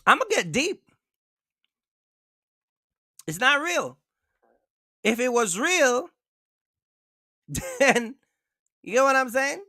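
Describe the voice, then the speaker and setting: Male livestream host, emphatic and repetitive, bright voice, dry close sound. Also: very high voice, big pitch swings, extremely slow, long pauses, no echo. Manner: emphatic, repetitive, keeps asking viewers for likes. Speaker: trucking channel host. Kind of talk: casual livestream rant.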